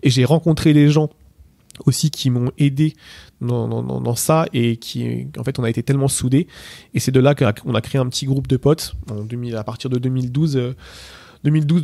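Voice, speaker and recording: Fast-talking man with a gravelly voice. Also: medium pitch, warm tone, dry recording. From Portugal. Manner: rapid anecdotal telling with many fillers and restarts. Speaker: interviewee, PSG ultra supporter. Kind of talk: casual spoken storytelling.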